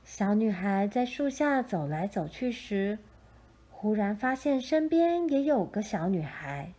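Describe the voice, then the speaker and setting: A woman storyteller reads a children's tale from a script. Her voice is mellow and mid-pitched. She takes her time, keeping a gentle, measured delivery throughout. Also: very animated. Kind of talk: scripted children's picture-book narration.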